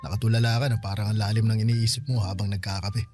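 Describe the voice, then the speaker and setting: A man's voice: on the low side, level tone, quick pace, darkish tone, faint music behind, close to an everyday voice. An actor playing a friendly, modest and brave male neighbour in radio drama dialogue, with an easygoing, chuckling way of talking.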